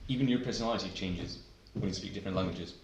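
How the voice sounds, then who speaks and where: A man's voice: sits low, fast. A man from Chile with a Chilean accent, Spanish as his first language, chatting casually and laughing often among friends. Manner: laughs a lot, lively, restarts often. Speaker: tabletop game player. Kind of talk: casual chat. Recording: echoey room with background noise.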